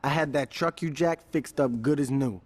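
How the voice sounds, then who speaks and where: A man plays a street gang strategist in a game cutscene. His medium-pitched voice is casual and businesslike, like a lieutenant handing out jobs. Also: normal speed, close to an everyday voice. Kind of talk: video game cutscene acting.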